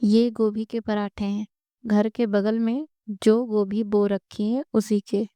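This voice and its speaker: A woman voicing a calm, resigned mother and elder daughter-in-law, measured and gentle, in a cartoon family story. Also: mid-range voice, clean tone, dry recording, lightly acted. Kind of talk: Hindi cartoon story dialogue.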